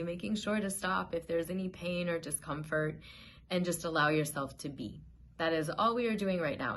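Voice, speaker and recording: A woman hosting a guided meditation, giving gentle, soothing, unhurried instructions as she introduces the session. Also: deep voice, slight background noise.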